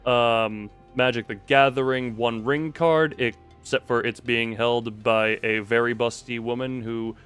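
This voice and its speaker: A man's voice: medium pitch, a bit bright, clear, resonant tone. A man giving live gameplay commentary with a wry, sarcastic manner and playful exclamations. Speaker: game streamer. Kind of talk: live gameplay commentary, reading dialogue aloud. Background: game music.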